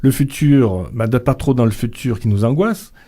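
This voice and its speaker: A middle-aged man guest on a radio interview, talking slowly and hesitantly with many fillers and restarts. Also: medium pitch, dark tone, slightly rough. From Vietnamese family background. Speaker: author and guest.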